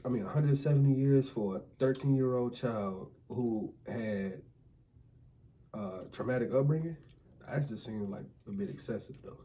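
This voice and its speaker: A man chatting casually with his wife, laying out his view in a relaxed, reasoning way. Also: medium pitch, normal speed, rough recording. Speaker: co-host, husband. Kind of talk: casual chat.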